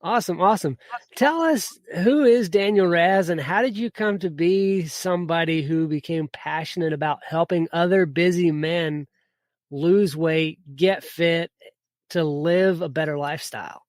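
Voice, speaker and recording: A man's voice: on the high side, a little slow, dry recording, studio quality. A man hosting a podcast, upbeat and enthusiastic, often repeating words. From United States. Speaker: podcast host. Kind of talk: casual podcast interview.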